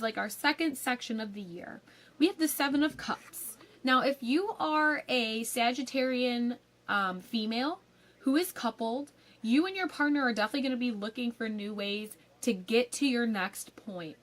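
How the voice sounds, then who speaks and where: A young woman giving a casual tarot forecast, a little raspy from a cold, chatty with frequent ums and likes. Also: a little high. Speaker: tarot reader.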